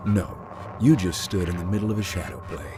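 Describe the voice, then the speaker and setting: Actor as a calm, deep-voiced ghost hunter. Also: male voice, a little fast, over music, close to an everyday voice. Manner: calm, matter-of-fact, dry. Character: calm young man who hunts ghosts. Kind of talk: visual novel voice acting.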